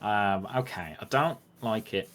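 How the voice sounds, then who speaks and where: A man's voice: lowish voice, measured pace, fairly bright tone, a little hiss or hum. A man acting as game master in a tabletop roleplay game, chatting casually and with amusement.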